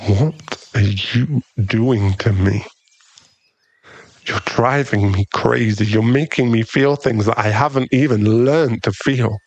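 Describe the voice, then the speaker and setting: Casting: male actor as brooding, protective mafia boss boyfriend for ASMR roleplay; intimate murmur, creaky, dry close sound. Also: medium pitch, slow, dry recording, highs cut off. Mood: vulnerable, confessing.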